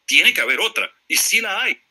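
A man's voice: sits high, big pitch swings, normal speed, bright, forceful tone, husky. A Venezuelan man in a political discussion, speaking hesitantly and apologetically, often repeating words as he corrects himself. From Maracaibo, Venezuela. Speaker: participant in a live audio discussion.

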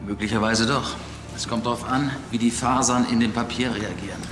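An actor playing a dry, wry police case analyst. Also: male voice, medium pitch, fairly even intonation, fairly quick, natural voice. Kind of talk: TV crime drama acting. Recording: echoey room with music.

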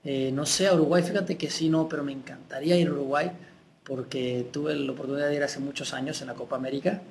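A man's voice: medium pitch, fairly even intonation, fast, gravelly, a little hiss or hum. A young man with a Mexican accent, chatting casually on a live webcam stream, reading out fan messages and sending greetings. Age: young adult. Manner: warm, chatty, reads out names and sends kisses. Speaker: singer answering fans on a webcam stream. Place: room with tall windows, indoors. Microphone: laptop webcam.

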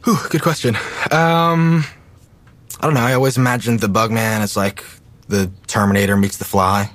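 A man's voice: on the low side, steady tone, moderate pace, a bit bright, natural voice. An actor playing a laid-back, joking teenage boy, casual and hesitant, in an audio drama. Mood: amused, casual.

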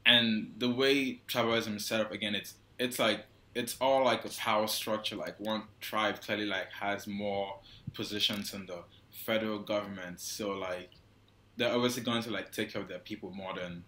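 Young Nigerian man, bright roomy voice. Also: lowish voice, a little hiss or hum. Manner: loose and hesitant, heavy use of "like" and restarts. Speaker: guest photographer. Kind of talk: casual interview answers. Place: small indoor room. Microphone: wired earphone mic on a video call. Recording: roomy echo.

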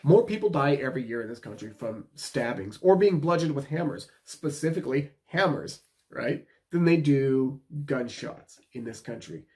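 A man's voice: medium pitch, lively intonation, moderate pace, few pauses, darkish tone. An American man in his thirties giving opinionated, casual commentary to camera, emphatic and repeating his points for stress, recorded indoors in a small room. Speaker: video commentator.

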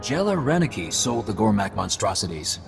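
A grave alien mystic, whose low voice grinds with heavy gravel as he speaks in formal, ceremonial phrases. Game music plays clearly under him. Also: big pitch swings, normal speed, exaggerated voice. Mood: solemn. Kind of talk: video game voice acting.

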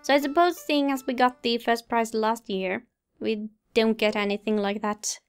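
Female let's play host, high voice, mild and chatty, game music underneath. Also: fairly even intonation, moderate pace, smooth. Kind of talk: casual gameplay commentary, reading game dialogue aloud.

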